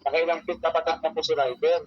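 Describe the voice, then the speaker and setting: A man's voice: fairly high pitch, flat delivery, quick pace, no room sound. A man with a Filipino accent speaking as a courier customer-service agent on the phone, explaining things deferentially and repetitively with frequent polite address. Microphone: telephone. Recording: muffled lo-fi phone call, dry.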